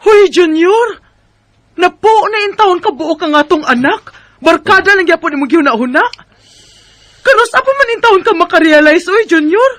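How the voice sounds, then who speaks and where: A man's voice: very high-pitched, animated, normal speed, fairly bright tone, dry recording. A man acting a long-suffering, emotional wife and mother in a radio drama, with a Filipino Bisaya accent. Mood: exasperated scolding. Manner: emotional, scolding and pleading.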